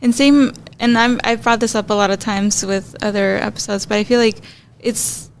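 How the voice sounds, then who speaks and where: A woman's voice: mid-range voice, steady tone, moderate pace, gravelly, a little hiss or hum. A woman from El Salvador co-hosting a podcast, chatting candidly with lots of "like". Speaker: podcast co-host. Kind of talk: casual podcast conversation.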